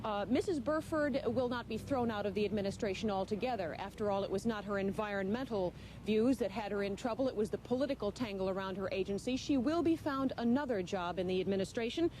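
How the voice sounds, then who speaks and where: A woman's voice: mid-range voice, quick pace, hardly any pauses, darkish tone. A woman reporting live as a television news correspondent, over a slightly noisy feed.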